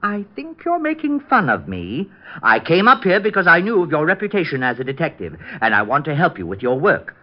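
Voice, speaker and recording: Eccentric pest for radio detective comedy: earnest, prim, grandly deluded, wildly animated delivery, dull lo-fi vintage sound. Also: male voice, on the high side, a little fast. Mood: earnest, insistent. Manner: prim, earnest, fussily polite. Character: eccentric, delusional, cheerfully boastful little man pestering a detective. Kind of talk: old-time radio comedy-detective acting. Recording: muffled vintage radio recording.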